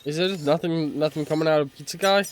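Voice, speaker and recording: A man's voice: on the high side, quick pace, a little hiss or hum. Sniffly man with a cold, wry commentary. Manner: wry, profane, anticipating trouble. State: congested from a cold. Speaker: streamer playing a video game. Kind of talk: casual gameplay commentary.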